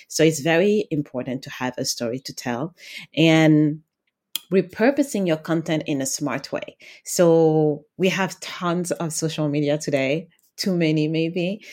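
A woman's voice: sits low, a little mellow. A woman from Senegal speaking as a podcast guest, giving conversational, explanatory answers. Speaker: podcast guest, video marketing consultant.

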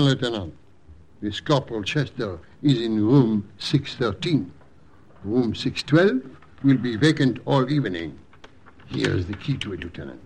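An actor in a 1940s radio drama playing an old French hotel clerk with a French accent, courteous and knowing. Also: male voice, on the low side, a little mellow, husky. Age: older.

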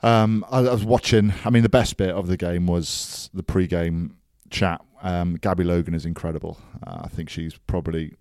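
A man's voice: low voice, steady tone, moderate pace, hardly any pauses, darkish tone, dry recording, studio quality. A man co-hosting a casual sports podcast, rambling with frequent ums and getting enthusiastic about rugby. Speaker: podcast co-host.

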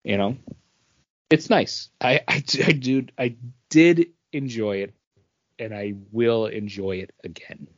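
A man's voice: medium pitch, slow, dry recording, highs cut off. A man in his forties chatting casually as a podcast co-host, rambling along and often repeating his phrases. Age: 40s. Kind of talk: casual chat, beer review.

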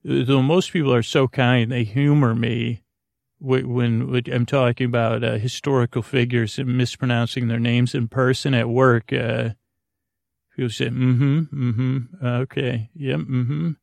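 Gravelly, monotone man rambling haltingly. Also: mid-range voice, no echo. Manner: meandering, halting, stammering, loses his thread. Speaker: podcast host. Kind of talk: rambling, unscripted bedtime monologue.

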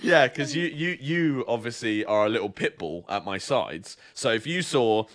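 A man's voice: mid-range voice, animated, normal speed, a bit bright. Rambling young Englishman telling stories. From southern England. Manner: rambling storyteller, many fillers and restarts. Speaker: podcast co-host. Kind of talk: casual chat.

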